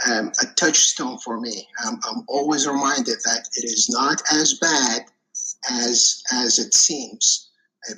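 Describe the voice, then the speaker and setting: This is a man from Iraq in his forties, speaking as a podcast guest. His voice is bright and forward, and he hesitates often, filling gaps with ums and uhs. He reflects on his life in a casual, earnest way. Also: mid-range voice, a little hiss or hum, poor audio. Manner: hesitant, many fillers and restarts. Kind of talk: casual interview answers.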